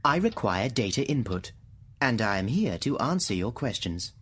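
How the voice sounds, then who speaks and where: An actor playing an impassive, relentlessly literal thinking machine in a radio drama, speaking formally like a computer reciting data. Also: male voice, fairly low pitch, big pitch swings, moderate pace, fairly bright tone, husky, heavily acted. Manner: formal, literal, repeating set phrases.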